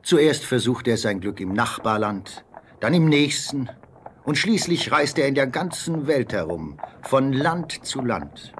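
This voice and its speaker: A man's voice: mid-range voice, very animated, measured pace, a little hiss or hum. A man narrating a scripted fairy tale as storyteller.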